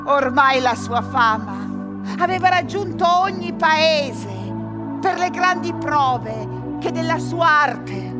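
A woman playing a solemn, foreboding chorus narrator, telling a radio tragedy in deliberate, portentous phrases. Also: high-pitched, lively intonation. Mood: solemn storytelling. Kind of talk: dramatic scripted narration, radio play. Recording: prominent music under the voice.

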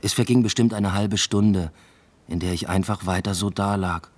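A man's voice: sits low, steady tone, normal speed, a little mellow. An actor playing a brooding first-person narrator in a radio drama, telling of his collapsing life in a subdued, matter-of-fact, introspective way. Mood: subdued, reflective.

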